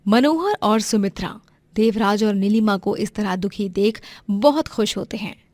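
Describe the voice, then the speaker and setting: A woman's voice: mid-range voice, unhurried, a little mellow, dry recording, clean studio sound. A woman narrating a scripted moral story as its storyteller.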